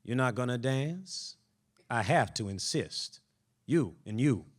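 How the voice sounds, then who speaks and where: A man's voice: mid-range voice, animated, slow. A man voicing a haughty, taunting plantation master with an imperious, goading manner in a dramatic reading. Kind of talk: dramatic audiobook-style reading.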